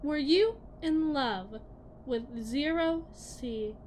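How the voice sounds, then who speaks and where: Woman playing a condescending tech company technician, high voice, deliberate and icy, hushed ASMR roleplay delivery, faint background noise. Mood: condescending, accusing. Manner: slow, deliberate, dropping into breathy whispers. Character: cold, condescending female lead technician at shady tech company. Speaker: audio roleplay performer.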